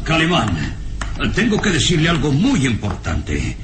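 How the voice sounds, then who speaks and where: An actor playing an elderly, earnest and worried professor in a radio serial, speaking haltingly and solemnly. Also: male voice, a little high, huge pitch swings, music in the background. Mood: hesitant, grave. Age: older. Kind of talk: radionovela acting. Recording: noisy old radio serial recording.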